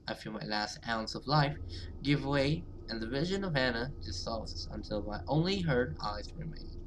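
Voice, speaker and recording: A man's voice: mid-range voice, noisy background. A man hosting a gaming video, reading visual novel text aloud with casual commentary and sometimes stumbling over and repeating words.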